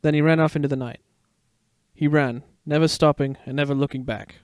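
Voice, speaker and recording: A man's voice: fairly high pitch, fairly even intonation, brisk, no room sound, studio quality. A man narrating a scripted story with a dramatic storytelling delivery.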